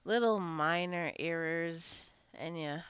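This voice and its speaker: Female gaming creator, slightly low and smooth, casual and rambling, talking as she plays. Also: takes it slowly, fairly bright tone, no room sound. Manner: rambling, chatty asides. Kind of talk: casual gameplay commentary.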